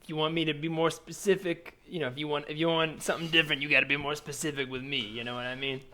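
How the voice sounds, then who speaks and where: A man with a bright, forceful voice improvises comedy scenes. An ambient soundscape and faint noise sit behind him. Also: fairly high pitch, steady tone, fast talker. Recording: background noise and added ambience. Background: added ambient soundscape.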